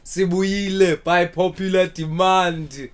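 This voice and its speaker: A man with a South African accent hosting a casual podcast, relaxed, often repeating words and dropping in isiXhosa phrases. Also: high-pitched, slow, clean tone. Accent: South African English. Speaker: podcast host.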